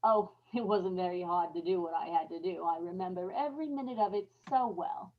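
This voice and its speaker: An actress playing a guilt-haunted, murderous young wife in a brooding, confessional old-time radio horror monologue. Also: female voice, on the low side, fairly quick, lo-fi sound, natural voice. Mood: feverish, gloating. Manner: dramatic, brooding confessional with repeated phrases.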